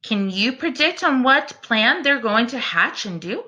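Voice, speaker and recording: A woman teacher reads aloud to children. Her voice is fairly high and bright, with very animated intonation. She sounds encouraging and speaks directly to young listeners. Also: a little slow, dull audio. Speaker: teacher reading a picture book aloud. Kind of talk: expressive children's read-aloud with questions.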